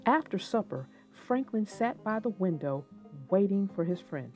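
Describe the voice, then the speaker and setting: A woman's voice: mid-range voice, lively intonation, measured pace, a little mellow. A woman host narrating a children's storybook as a read-aloud. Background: music, plucked guitar.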